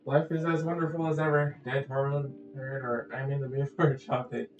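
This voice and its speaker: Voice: mid-range voice, level tone, moderate pace. A game streamer giving casual, playful commentary full of silly jokes over gameplay. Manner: goofy, playful. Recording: echoey, muffled audio with faint music.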